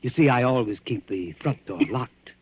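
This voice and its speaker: An actor playing a deranged hotel keeper, eerily polite and menacing, in a 1940s radio suspense drama. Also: male voice, medium pitch, moderate pace, breathy edge, natural voice. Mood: falsely solicitous. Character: sinister, deranged hotel keeper, falsely solicitous killer. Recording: muffled old-time radio recording.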